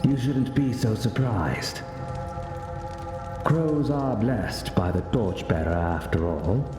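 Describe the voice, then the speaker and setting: An actor playing a calm, wry male mentor in a video game, speaking in a measured, formal, instructive way. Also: lowish voice. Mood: wry, composed. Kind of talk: video game cutscene acting. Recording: echoey hall reverb, music behind.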